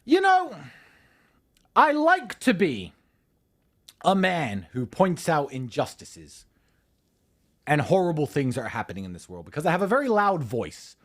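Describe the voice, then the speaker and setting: Angry, profane man with a lively voice. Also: a little high, a little slow, long pauses. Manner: swings between shouting and muttering. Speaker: livestream host. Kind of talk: ranting monologue to a live audience.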